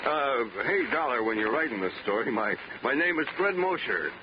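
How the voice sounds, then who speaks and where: An actor playing a slow-witted, eager, plain-talking working-class man in a 1940s radio drama. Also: mid-range voice, lively intonation, natural voice. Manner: simple, eager, informal. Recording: dull noisy old radio recording.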